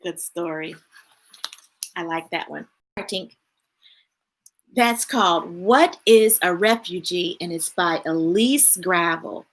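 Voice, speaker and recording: A woman's voice: fairly low pitch, takes it slowly, pauses often. A woman reading picture books aloud to children, breaking off for chatty asides to viewers. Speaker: children's storytime reader.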